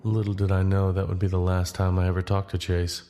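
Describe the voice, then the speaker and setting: A man playing a guarded, easygoing young hiker who narrates his own journey in a low-key, conversational way. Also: monotone, a little fast, warm tone, a little hiss or hum. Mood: reflective. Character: John, easygoing but guarded young man hiking long-distance. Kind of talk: first-person audio drama narration and dialogue. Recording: music behind the voice.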